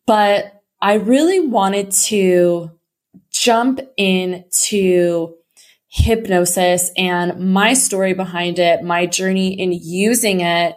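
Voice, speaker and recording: A woman hosting her own podcast in a casual, upbeat way, her voice slightly hoarse. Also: lowish voice, takes it slowly. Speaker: podcast host. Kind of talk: casual solo podcast talk.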